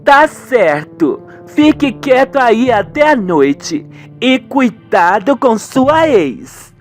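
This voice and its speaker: Man putting on a high-pitched scheming villainess. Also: sing-song, extremely slow, faint music behind, heavily acted. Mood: warning. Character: scheming, ruthless villainess ordering a killing.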